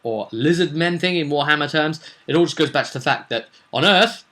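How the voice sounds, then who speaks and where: A man's voice: fairly high pitch, fairly quick, fairly bright tone. A man speaking as a game master, recapping a roleplay session in a casual, rambling, digressive and jokey way. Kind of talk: casual rambling vidcast storytelling.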